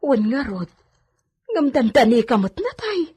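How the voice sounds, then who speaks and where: Woman acting outspoken maidservant, Ilocano accent, animated melody, slightly rough voice, protective, radio drama. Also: high voice, a little slow, exaggerated voice. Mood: concerned.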